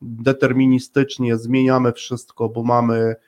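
A man's voice: mid-range voice, flat delivery, measured pace, darkish tone. A man hosting a conversational interview podcast, speaking wordily and affirming his guests. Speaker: podcast host.

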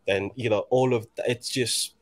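A man in his twenties from Nigeria, talking in a reflective, halting way with many restarts in a casual conversation. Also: medium pitch, steady tone. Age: 20s.

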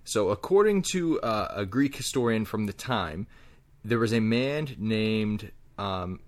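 A man's voice: fairly low pitch, animated, unhurried. A man on a home podcast, casually telling a history story full of ums and hedges. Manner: rambling, hedging storyteller with many ums. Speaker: podcast co-host. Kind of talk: casual chat.

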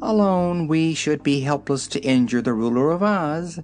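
A man voicing a wise old male gnome general who speaks calmly and lectures his king. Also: fairly high pitch, moderate pace, pitched above the performer's own voice, lightly acted. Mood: scheming. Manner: calm, coolly lecturing.

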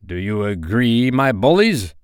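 A man voicing a proud, villainous pirate captain in a storybook, with grandiose, theatrical, drawn-out delivery. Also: mid-range voice, big pitch swings, clear, resonant tone, no room sound, studio quality. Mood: commanding, scheming. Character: proud male pirate captain, blustering villain turning shaken.

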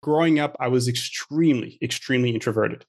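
A man's voice: medium pitch, a little fast. A middle-aged man from Queens, New York, whose first language is French, speaks as a podcast guest. His voice sits in a middle register. He tells his story in a chatty, confident way and drops in jokes. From Queens, New York, USA. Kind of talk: casual interview storytelling.

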